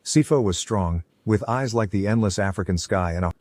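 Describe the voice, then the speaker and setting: A man's voice: fairly low pitch, normal speed, a little mellow. A man narrating a scripted folk tale as a storyteller.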